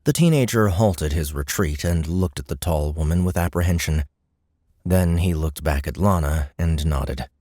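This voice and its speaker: Deep-voiced male audiobook narrator. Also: normal speed, darkish tone, no echo, studio quality. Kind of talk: scripted fiction narration.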